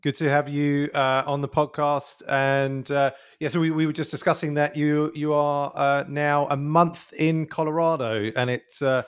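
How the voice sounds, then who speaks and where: A man's voice: fairly high pitch, flat delivery, a little slow, few pauses, smooth. Rambling podcast host, man. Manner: rambling, many fillers and repeats. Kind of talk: casual interview chat.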